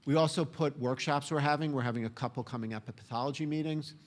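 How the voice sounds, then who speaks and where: A man presenting at a scientific conference at an ordinary, middle pitch. He talks in a loose, conversational way and keeps it informal. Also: quick pace. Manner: relaxed, conversational with fillers. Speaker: conference speaker. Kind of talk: conference talk, casual.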